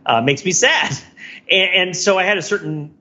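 Bright-voiced man chatting, slightly dull audio. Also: high voice, normal speed. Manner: rambling, self-deprecating, wry. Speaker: podcast co-host. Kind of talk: casual conversational podcast chat.

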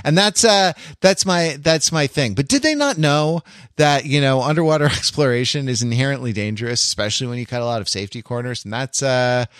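Dry-sounding, halting man podcasting casually. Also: medium pitch, a bit bright, smooth, no room sound. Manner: halting, backtracking, wry. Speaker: podcast host. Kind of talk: casual discussion, explaining at length.

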